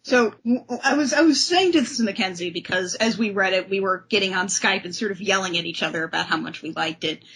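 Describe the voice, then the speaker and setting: A woman's voice: mid-range voice, fast talker, fairly bright tone, slight background noise, rough recording. A woman hosting a casual discussion show, talking in an enthusiastic, effusive way. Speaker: podcast host.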